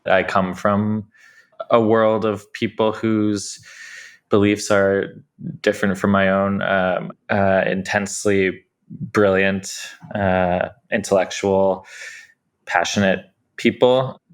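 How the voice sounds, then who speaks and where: A man's voice: low-pitched, level tone, slow pace. A man speaking as a playwright in a thoughtful interview, hesitant and often correcting himself as he searches for phrasing.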